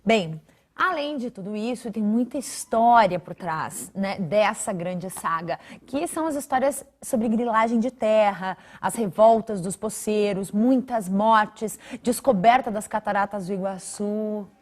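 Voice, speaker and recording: A woman's voice: medium pitch, a little slow. A woman interviewing a guest on TV, moving the talk along with short questions and affirmations. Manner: brief prompts and affirmations.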